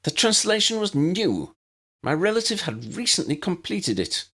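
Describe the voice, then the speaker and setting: A man's voice: high-pitched, sing-song, moderate pace, a bit bright, slightly rough, voice pushed up, exaggerated voice. A man voicing an imperious, scornful young witch villain in a fantasy audiobook, snappish and sneering. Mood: defensive. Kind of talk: fan-fiction audiobook character voice.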